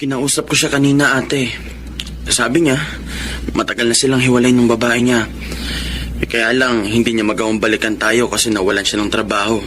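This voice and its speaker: An actor in a Filipino radio drama playing an earnest, conciliatory younger brother who reasons gently. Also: male voice, medium pitch, level tone, fairly quick, a little hiss or hum, lightly acted. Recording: music bed under the voice. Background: dramatic music.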